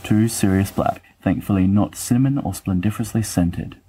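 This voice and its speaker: Low, husky, wry bookish man acting a letter-writer. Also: moderate pace, mellow. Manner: pedantic, dryly self-deprecating, wordy. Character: wry bookish young male letter-writer, fussy and affectionate. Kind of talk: dramatic reading of letters.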